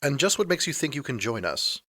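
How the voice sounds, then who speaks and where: A man plays an ancient supernatural rogue in an audio drama, recorded close and dry. The mid-pitched voice swings widely, sardonic and flirtatious, quick to take theatrical offence and fond of bloodthirsty threats. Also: big pitch swings, sharp tone, dry recording. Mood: smug, flirtatious. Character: snarky, womanizing, bloodthirsty centuries-old male supernatural being.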